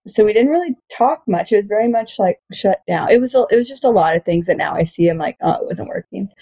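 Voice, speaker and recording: A woman's voice: medium pitch, expressive, quick pace, dark tone. Woman late 30s, comedian just chatting, super blunt and sweary, jokes at herself. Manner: frank, profane, self-joking. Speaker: comedian and podcast talker. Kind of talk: candid casual chat.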